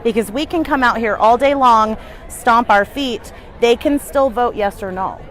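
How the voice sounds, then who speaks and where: A middle-aged woman with a big, forceful voice chatting at an outdoor rally on a handheld mic. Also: a little high, moderate pace. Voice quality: big loud voice. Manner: forceful, emphatic. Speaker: rally livestreamer. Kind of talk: casual chat at a protest. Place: outdoor parking lot at a rally. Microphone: handheld clip mic. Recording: outdoor rally with crowd and music. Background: crowd and music.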